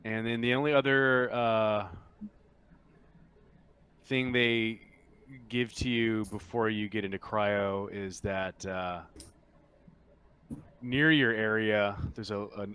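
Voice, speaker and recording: A man briefing players as game master of a tabletop roleplaying game, explaining informally and haltingly with many pauses. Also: lowish voice, fairly bright tone, clear, resonant tone.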